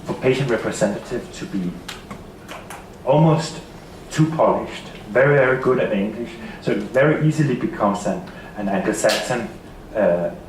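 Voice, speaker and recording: A man with a Danish accent giving a seminar talk, halting and repeating words often. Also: on the low side, lively intonation, slight background noise. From Denmark. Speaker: seminar speaker. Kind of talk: conference presentation. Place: seminar hall. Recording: echoey hall from far off.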